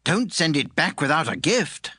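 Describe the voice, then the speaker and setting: A man's voice: fairly high pitch, sing-song, normal speed, bright, forceful tone, breathy edge, no room sound, clean studio sound, heavily acted. A man playing a solemn pagan priest giving counsel in a dramatized audiobook.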